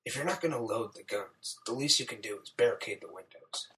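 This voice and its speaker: A man's voice: fairly low pitch, expressive, fairly quick, bright, forceful tone. A man playing a protective, commanding male survivor in an audiobook, speaking firmly and urgently. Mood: fed up. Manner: firm, urgent.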